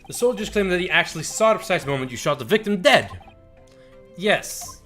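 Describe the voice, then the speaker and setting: A man's voice: high voice, expressive, fairly quick, fairly bright tone, faint music behind. A man streaming a game, reading visual novel dialogue aloud.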